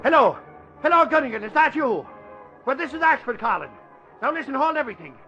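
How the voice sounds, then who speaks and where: A man playing a blustering, excitable Irish newspaperman in 1940s radio style. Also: extremely high pitch, quick pace, soft background music, exaggerated voice. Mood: excited, boastful. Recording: muffled, band-limited old radio broadcast.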